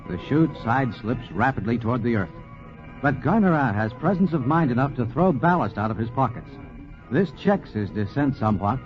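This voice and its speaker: A man narrating a scripted 1940s radio documentary with dramatic, deliberate emphasis. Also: medium pitch. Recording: dull 1940s radio recording.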